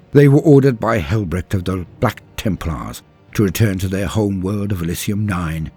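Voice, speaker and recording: A man delivering dramatic scripted lore narration in a solemn, grave and measured storytelling manner. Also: deep voice, a little mellow. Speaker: narrator and host of a lore channel.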